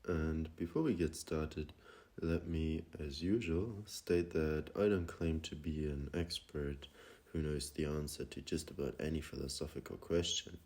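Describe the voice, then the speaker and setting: Very deep, slow male meditation guide. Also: fairly even intonation. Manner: gentle, unhurried, with long pauses.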